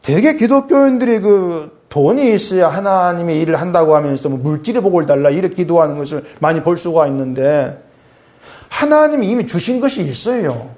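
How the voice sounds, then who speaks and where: A middle-aged male pastor preaching conversationally, asking his congregation questions and dropping low and soft when he reads scripture. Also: expressive, a little fast. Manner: swings between raised emphatic lines and quiet low asides; reads scripture aloud in a lower, softer voice. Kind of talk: sermon.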